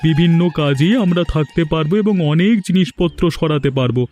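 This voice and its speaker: Man playing a high-pitched scheming village workman. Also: a little fast, darkish tone, faint music behind, close to an everyday voice. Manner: conspiratorial, explaining. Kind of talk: cartoon voice acting.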